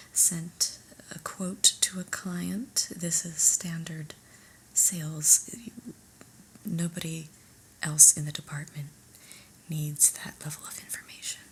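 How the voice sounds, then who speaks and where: A woman playing a stern, unimpressed new office boss in an ASMR roleplay, speaking in a soft breathy whisper with a deliberate, dry, officious manner. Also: fairly low pitch, level tone, slight background noise, rough recording. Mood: exasperated.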